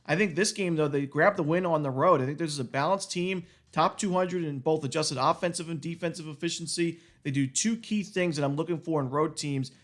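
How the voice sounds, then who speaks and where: Fast-talking man with a cold. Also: on the high side. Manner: quick, steady rundown of stats and picks with small restarts. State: has a cold, voice going out. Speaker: sports betting analyst. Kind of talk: solo sports picks breakdown.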